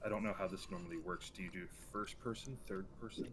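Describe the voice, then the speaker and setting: A man's voice: low-pitched, fairly even intonation, fast, fairly bright tone, husky. A man playing in a tabletop game over a voice call, chatty and self-deprecating. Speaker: player. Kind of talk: casual tabletop game session.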